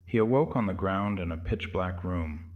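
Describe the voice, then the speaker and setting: A man's voice: low voice, normal speed, narrow, tinny audio, noisy background. A man giving scripted narration for a horror audio drama.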